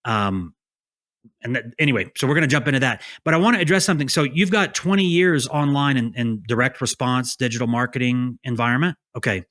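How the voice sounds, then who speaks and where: A man's voice: mid-range voice, brisk, a bit bright. A man hosting a podcast, reading an upbeat intro and then chatting with a guest, often affirming with short yeahs. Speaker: podcast host. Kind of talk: scripted intro, then casual interview chat.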